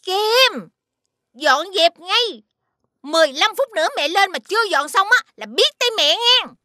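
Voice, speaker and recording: A woman playing a caring but strict mother in an animated series. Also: extremely high pitch, a little slow, no echo, clean studio sound, heavily acted. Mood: scolding. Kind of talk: Vietnamese animated series dubbing.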